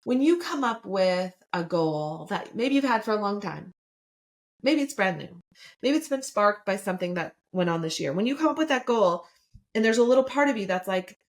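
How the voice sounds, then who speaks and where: A woman's voice: medium pitch, animated, moderate pace. Upbeat woman in her fifties hosting. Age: 50s. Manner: enthusiastic, chatty, frequent "right?". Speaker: podcast host and coach. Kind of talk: solo podcast, motivational talk.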